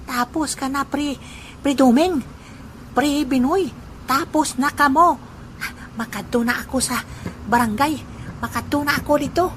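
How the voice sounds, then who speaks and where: A woman voicing a boastful, joking old grandfather who is poor but proud, speaking in a teasing way in an amateur audio drama. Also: high voice. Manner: teasing, laughing, slow deliberate delivery. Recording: noisy amateur recording.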